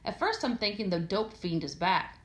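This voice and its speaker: An echoey room surrounds a woman reading a story aloud. She narrates in the first person at a medium pitch, conversational and sardonic, with an expressive feel for casual slang. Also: moderate pace. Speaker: audiobook reader.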